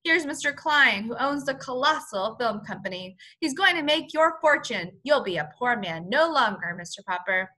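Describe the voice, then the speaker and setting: Lady reading as a smooth-talking business man in a kids book, breezy, high bright voice. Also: expressive, moderate pace, few pauses. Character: breezy, persuasive male theatrical businessman.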